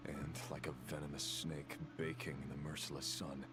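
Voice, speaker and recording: Very deep, brooding fallen villain. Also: male voice, little pitch movement. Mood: grave. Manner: slow, solemn, halting. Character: brooding, remorseful fallen villain corroded by the Abyss, resurrected. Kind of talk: dramatic video game dialogue. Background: music.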